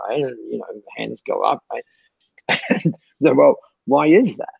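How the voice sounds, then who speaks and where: Gravelly man giving chatty advice. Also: medium pitch, animated, a little slow, darkish tone, dry recording, highs cut off. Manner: chatty, repeats words, laughs often. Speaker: guest speaker. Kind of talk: mentoring Q&A on a call.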